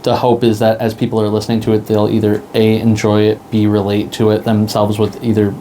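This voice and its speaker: A man's voice: fairly low pitch, completely flat delivery, brisk, dark tone, a little hiss or hum. A man speaking casually as a creative guest in a radio interview.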